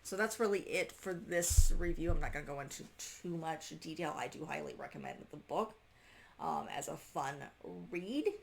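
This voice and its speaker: Female homeschool reviewer, lowish pitch with noticeable fry, informal and unscripted, frequently interrupts herself. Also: slight background noise. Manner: halting, self-interrupting, trailing off mid-sentence. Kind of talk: casual unscripted review to camera.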